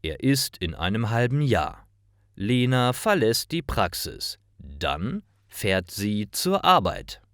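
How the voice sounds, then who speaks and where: A man narrating simple scripted stories for language learners, reading carefully in clearly phrased short sentences. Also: fairly low pitch, sing-song, slow pace, fairly bright tone, no room sound, clean studio sound.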